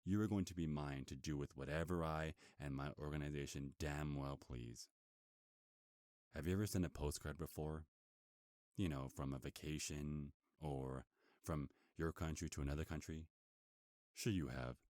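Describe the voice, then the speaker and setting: A man's voice: extremely low pitch, fairly even intonation, moderate pace, darkish tone, dry recording, studio quality. A man performing an ASMR roleplay as a smug, predatory werewolf in human form, taunting and possessive as he gloats seductively to his captive. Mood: seductive gloating. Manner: taunting, possessive, smooth-talking.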